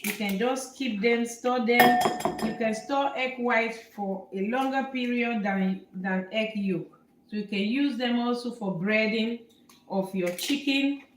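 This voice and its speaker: A woman from Nigeria hosts a live cooking lesson, explaining each step in an unhurried, repetitive way with plenty of fillers. Her voice sits fairly high. The sound is roomy and echoey, with faint noise underneath from the kitchen. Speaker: cooking show host, chef. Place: home kitchen.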